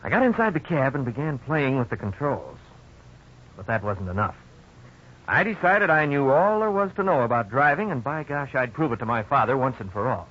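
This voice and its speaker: An actor playing an earnest man recalling his youth in folksy, expressive narration for a 1950s radio drama. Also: mid-range voice, moderate pace. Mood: excited, boastful. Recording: dull, noisy old radio recording.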